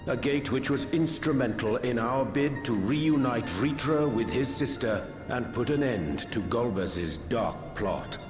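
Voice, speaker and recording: An actor playing a grave, courtly scholar-warrior in a fantasy video game, speaking in formal, archaic phrases with a solemn, measured manner. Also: male voice, medium pitch, lively intonation, reverberant, in a large hall, over music, close to an everyday voice. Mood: grave concern.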